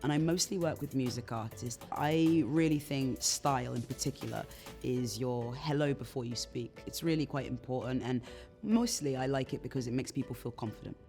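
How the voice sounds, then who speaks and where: A woman stylist speaks in a deep voice with plenty of gravelly fry. She talks calmly and thoughtfully to camera, with music playing faintly behind her. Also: moderate pace. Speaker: stylist and judge. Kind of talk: promo interview to camera.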